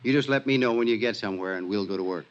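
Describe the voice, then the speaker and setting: A man's voice: fairly low pitch, rapid-fire, very noisy, natural voice. An actor playing a big, talkative middle-aged insurance man in a 1950s radio drama, emphatic and folksy, with an American accent. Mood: confident, folksy. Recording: dull vintage radio recording.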